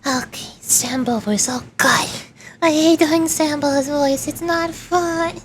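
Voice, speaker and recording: High-pitched woman streaming a game. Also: slow, slight background noise. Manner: expressive, playfully dramatic exclamations. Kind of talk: casual livestream gameplay commentary.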